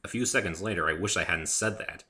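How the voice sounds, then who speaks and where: A man's voice: low-pitched, quick pace, pushed and bright. A man giving an amateur dramatic reading of a first-person story as its narrator.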